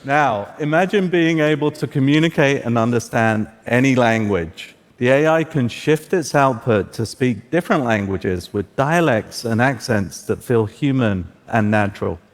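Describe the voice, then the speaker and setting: A man's voice: mid-range voice, lively intonation, normal speed, few pauses. A man whose first language is Farsi, presenting a live tech demo on stage in an upbeat, guiding way. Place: stage in a large auditorium. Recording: echoey hall.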